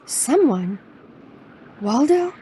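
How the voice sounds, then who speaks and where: An uneasy woman client in noir audio drama, her voice fairly high and softly mellow. She is restrained, with ambient noise behind her. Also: very animated, very slow, heavily acted, low-quality recording. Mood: anxious. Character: anxious, soft female client of a private detective.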